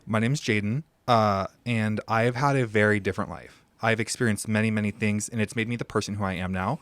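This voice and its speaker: A man's voice: lowish voice, fairly even intonation, brisk, a bit bright, no echo. A man in his twenties, a podcast guest, talking casually and joking and laughing his way through serious stories. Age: 20s. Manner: joking, laughs while telling hard stories. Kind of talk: casual conversation.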